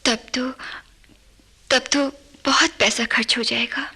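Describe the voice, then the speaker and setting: An actress playing an anxious young wife and daughter-in-law in a radio drama, speaking haltingly and tentatively. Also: female voice, on the high side, steady tone, bright, forceful tone, breathy edge, full character voice. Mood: worried, hesitant.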